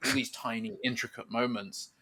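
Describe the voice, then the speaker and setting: A man with a bright, forceful voice hosts a casual podcast. He talks in an enthusiastic, informal way, like a devoted fan among friends, and drops in the odd swear word. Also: mid-range voice, steady tone, moderate pace, slight background noise. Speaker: podcast host.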